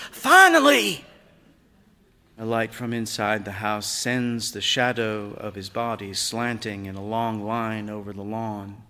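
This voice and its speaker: A man reading a literary short story aloud on stage, in a measured, expressive way that brings out the drama. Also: mid-range voice, clear, resonant tone. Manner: measured, expressive, acts out dialogue. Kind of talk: dramatic literary narration read to an audience. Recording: reverberant hall, live stage reading.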